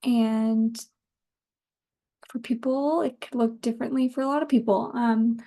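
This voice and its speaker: Casting: woman podcaster, thirties, light higher voice with a soft, relaxed tone, informal and rambling. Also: unhurried. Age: 30s. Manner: informal, rambling, laughs easily, occasional swearing. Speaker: podcast host. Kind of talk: casual solo podcast chat.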